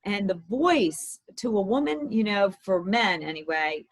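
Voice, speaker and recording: A woman dating coach with a slightly hoarse voice, giving upbeat advice in conversation. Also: medium pitch, big pitch swings, unhurried. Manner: upbeat, advising. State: slightly hoarse from talking all day.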